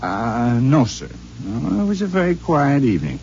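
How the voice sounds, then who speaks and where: A man's voice: medium pitch, wildly swooping pitch, normal speed, darkish tone. An actor playing a polite, humanlike male robot double in a 1950s radio drama, speaking courteously and mildly, on a dull old recording with faint hiss. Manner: courteous, deferential, mild.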